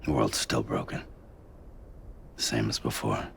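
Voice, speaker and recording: A man playing a weary, withdrawn delivery porter in a cinematic video game, terse and downbeat, with a gravelly voice. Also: rumbling low, unhurried, fairly bright tone, slight background noise, exaggerated voice. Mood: resigned. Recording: music under the voice.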